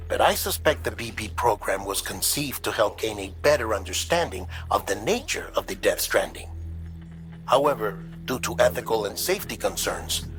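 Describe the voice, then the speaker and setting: An actor playing a thoughtful, articulate researcher in a video game, explaining in a measured, formal and grave way. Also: male voice, fairly low pitch, a bit bright. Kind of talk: video game cutscene monologue.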